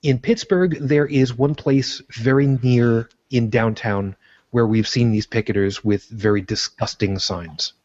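A man's voice: medium pitch, a little slow, a little mellow, lo-fi sound. A man from Brooklyn co-hosting a podcast in casual chat, rambling and correcting himself as he jokes. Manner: rambling, self-correcting, jokey. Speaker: podcast co-host.

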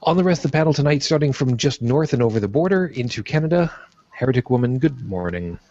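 A man hosts an online panel, chatty and easygoing. His voice sits at an ordinary pitch. The sound is dry and slightly muffled, like a lo-fi call mic. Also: fast, no echo. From born in Brooklyn, raised on Long Island. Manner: relaxed, chatty, introduces guests one by one. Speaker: host of a panel vlogcast. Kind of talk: casual panel hosting.

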